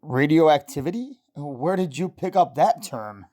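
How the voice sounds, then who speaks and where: A woman acts out a devoted scientist husband in a vintage-style radio play. The voice sits low, with a fond, encouraging manner. He is proud of his wife and teases her gently. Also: lively intonation, measured pace. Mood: fond, amused. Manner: tender, encouraging, gently teasing. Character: affectionate, proud scientist husband, adult man. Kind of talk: old-time radio drama acting.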